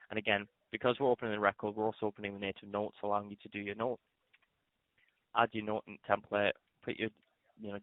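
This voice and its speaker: Guy doing a software training demo, talks fast, lowish voice, keeps checking in. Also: no echo. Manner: explanatory, often ends points with a check-in "okay?" or "all right?". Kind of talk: screen-share software tutorial, conversational walkthrough. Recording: narrow phone-like line, fairly dry.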